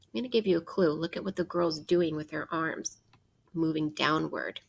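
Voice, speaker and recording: A woman teacher reading a picture book aloud to children in a deliberate, instructive way, posing questions as she goes. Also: sits low, creaky.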